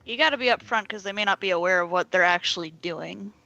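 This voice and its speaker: A woman's voice: mid-range voice, fast, vocal fry, sharp tone, muffled recording. A woman appearing as a guest in casual podcast chat over an online call.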